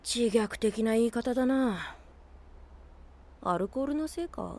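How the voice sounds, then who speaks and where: A woman playing a cocky, blunt boy with an old head on young shoulders, speaking in rough casual style in an anime audio drama. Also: fairly high pitch, lively intonation, a little slow, darkish tone. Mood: wry. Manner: rough, casual, brash boyish speech. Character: cocky, blunt boy who is secretly much older.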